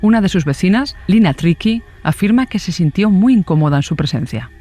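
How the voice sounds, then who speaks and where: A woman's voice: fairly low pitch, lively intonation, a little fast, dark tone, faint music behind. A woman reading scripted true-crime narration.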